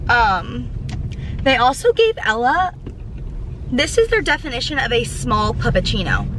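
A woman's voice: on the high side, noisy background. A woman of twenty-one chatting casually to camera from the driver's seat of a car, bubbly and full of fillers. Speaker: vlogger. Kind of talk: casual vlog chat. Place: inside a parked car. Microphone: camera on the dashboard.

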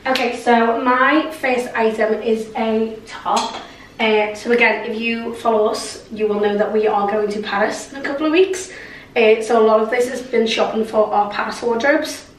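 A young woman, hoarse from a cold, chatting through a clothing haul with plenty of likes and ums. She is recorded from across a bright room, so her voice sounds distant and echoey. A faint hiss sits under her talk. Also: fairly high pitch, steady tone, normal speed. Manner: chatty, many fillers and likes. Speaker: fashion vlogger. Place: bright bedroom with clothes rail. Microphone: camera mic from across the room. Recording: roomy echo, mic at a distance.

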